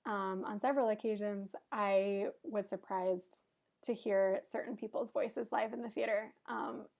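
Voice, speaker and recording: A woman co-hosting a podcast in relaxed casual chat, with frequent "um"s. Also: mid-range voice, unhurried, vocal fry. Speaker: podcast co-host.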